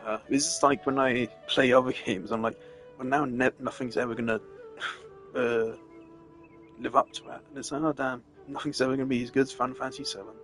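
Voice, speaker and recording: A man's voice: medium pitch, steady tone, a little fast, a bit bright, soft background music. A man giving a casual, opinionated rant on a live stream, emphatic and exasperated in the way he talks.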